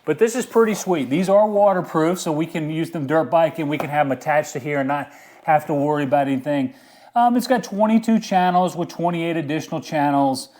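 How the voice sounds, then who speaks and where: A man's voice: a little high, brisk, a little mellow. A man talking casually through a product unboxing and review, informal and easygoing, thinking aloud as he goes.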